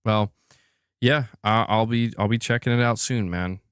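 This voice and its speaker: A man's voice: lowish voice, moderate pace, clear, resonant tone, no echo, studio quality. A man hosting a gaming news stream, talking casually and informally as if chatting with viewers. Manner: informal, conversational, responding to chat. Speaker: host of a gaming news livestream.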